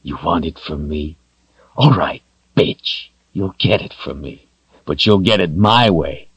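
A man's voice: animated, unhurried, husky, no room sound, lo-fi sound, exaggerated voice. A man voicing a brutal male demon commander who growls and hisses his threats. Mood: menacing. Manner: low growling and hissing.

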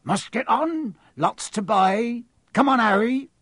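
A man's voice: very high voice, lively intonation, takes it slowly, a bit bright, no echo, clean studio sound, pitched above the performer's own voice, exaggerated voice. A man voicing a huge, kindly giant of a man who talks in homely, ungrammatical speech. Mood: cheerful.

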